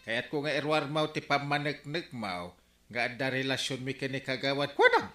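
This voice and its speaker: A man's voice: mid-range voice, very animated, moderate pace, bright, forceful tone, echoey room, soft background music. A man playing an exasperated comic village captain with a Filipino accent in a radio drama. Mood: confronting.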